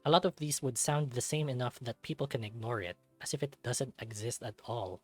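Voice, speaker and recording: A man's voice: medium pitch, brisk, darkish tone, soft background music. A man reading a first-person story aloud in a dramatic narrating style, as for a visual novel.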